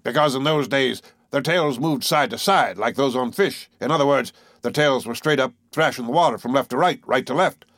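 A man voicing an excitable, boastful Canadian whale harpooner in an audiobook, blunt and emphatic. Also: medium pitch, normal speed, hardly any pauses, dry recording, clean studio sound, voice pushed up, lightly acted. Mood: earnest storytelling. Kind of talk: audiobook character voice.

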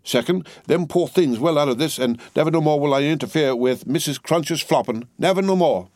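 A man voicing a solemn, trembling male servant, who holds forth slowly as if from a pulpit. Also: fairly high pitch, darkish tone, dry recording, voice pushed up, natural voice. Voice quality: ungrammatical working-class speech. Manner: slow, pulpit-like holding forth.

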